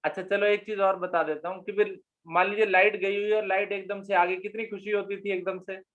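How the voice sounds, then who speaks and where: A man's voice: high voice, flat delivery, brisk, fairly bright tone. A man hosting a casual group discussion, politely prompting guests to share old memories. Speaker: discussion host. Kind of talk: casual group chat on a call. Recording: dull lo-fi call audio.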